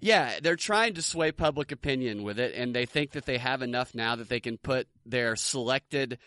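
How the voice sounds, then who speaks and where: A man's voice: medium pitch, normal speed, fairly bright tone, clear, resonant tone, no echo, studio quality. An American man co-hosting a podcast, talking through politics in a casual commentary style. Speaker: podcast co-host.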